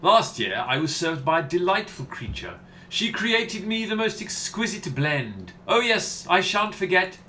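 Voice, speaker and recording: A man playing a pompous gentleman aesthete in a radio comedy. He declaims floridly and fussily, swept up in romance, with animated intonation and faint noise behind the voice. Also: sits high, measured pace, exaggerated voice. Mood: dreamy rapture. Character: pompous, flowery, romantic gentleman customer, fussy poetry lover. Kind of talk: comedy radio play acting.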